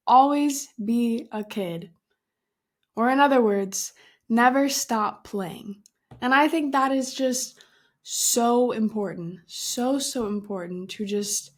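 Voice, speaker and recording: A woman in her early twenties hosts her own podcast in an unhurried, drawn-out way. She giggles often and stresses her points with emphatic, casual pep, now and then with a mild swear word. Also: mid-range voice. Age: 20s. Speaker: podcast host. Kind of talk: casual solo podcast talk.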